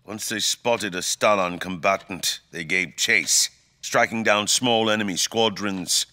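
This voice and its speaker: An actor playing a grave, imperious fantasy king in an audio drama, speaking with formal, deliberate theatrical diction. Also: on the low side, sharp tone. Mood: grave, scornful.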